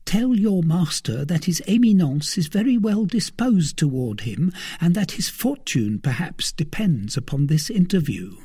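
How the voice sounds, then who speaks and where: A man voicing a frightened, excitable young male servant who talks eagerly and breathlessly, with a husky voice. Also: fairly high pitch, measured pace, few pauses, voice pushed up, full character voice. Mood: anxious eager.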